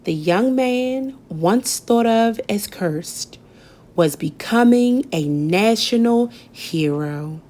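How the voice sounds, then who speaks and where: A woman reading a children's picture book aloud, gentle and expressive, lifting her voice on key words. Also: on the high side, slow pace. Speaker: storybook reader. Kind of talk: children's read-aloud narration.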